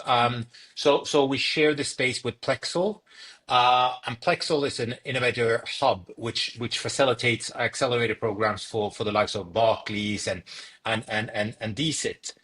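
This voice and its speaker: Bright-voiced Norwegian-born man, halting. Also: mid-range voice. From Norway. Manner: hesitant, many fillers and repeated words. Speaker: startup founder. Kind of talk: interview answers.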